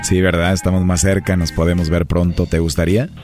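A man's voice: deep voice, little pitch movement, fairly quick, a little mellow, faint music behind. A man with a Mexican accent, making a teasing, flirtatious prank phone call on a radio show.

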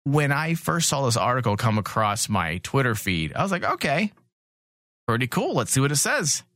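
A man with a very lively, mid-pitched voice hosts a casual fan podcast. He banters in a mocking, foul-mouthed way, and the sound is close and dry. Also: normal speed, dry recording. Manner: sweary, mocking, animated. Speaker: podcast host.

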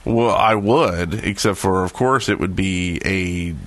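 Bright, low-pitched man hosting a podcast. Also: unhurried, no room sound. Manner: jokey, rambling. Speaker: podcast host. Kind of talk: casual podcast chat.

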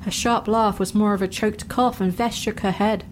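A woman's voice: medium pitch, steady tone, normal speed, slight background noise. A woman narrator reading a scripted fantasy story.

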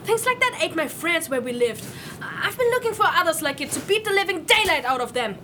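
A feisty young human woman played by an actress in a fantasy audio drama. She is blunt and casual, with a high, swooping voice that blurts things out over clear background noise. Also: big pitch swings, fairly quick, pushed and bright, heavily acted. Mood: indignant. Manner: casual, blurting, hesitant starts.

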